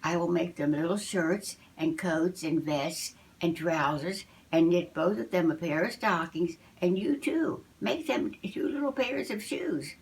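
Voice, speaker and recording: A woman's voice: fairly low pitch, measured pace, few pauses. An older woman reading the part of a shoemaker's kindly, grateful wife in a storybook, earnest and planning aloud.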